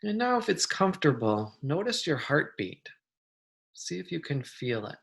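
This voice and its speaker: Meditation guide, medium pitch: soft-mannered, soothing, unhurried, leaving long pauses between gentle instructions. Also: sing-song, gravelly.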